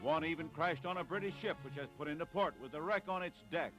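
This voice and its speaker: A man's voice: a little high, fast talker. A man narrating a 1940s newsreel in dramatic, declamatory, clipped phrases. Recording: vintage 1940s newsreel soundtrack with music.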